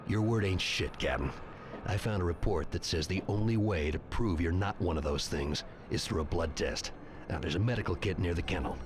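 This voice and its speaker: A distrustful, tough soldier from a video game, played by a man, with a deep voice. He talks in terse, suspicious commands over clearly noisy ambience. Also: a little fast, close to an everyday voice. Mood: suspicious, threatening. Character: paranoid, distrustful armed soldier, hard and tough-talking. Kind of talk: video game cutscene acting.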